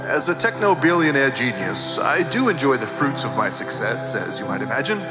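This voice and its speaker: Smug, fumbling tech mogul for an audio cartoon, mid-pitched, a man plays him, roomy. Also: very animated, normal speed, over loud music, close to an everyday voice. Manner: hesitant, filler-heavy, self-satisfied. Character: smug awkward billionaire rocket mogul, man.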